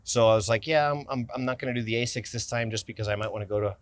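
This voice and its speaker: A man's voice: mid-range voice, very fast, dry recording. A man in his forties with an American accent, talking casually about his everyday carry gear while seated in a city park, rambling and restarting sentences. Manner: rambling, self-interrupting, enthusiastic about gear. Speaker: gear company owner and vlogger. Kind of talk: casual gear-review vlog talk. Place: outdoor city park, seated on stone ledge. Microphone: camera on tripod a few feet away, handheld close-ups.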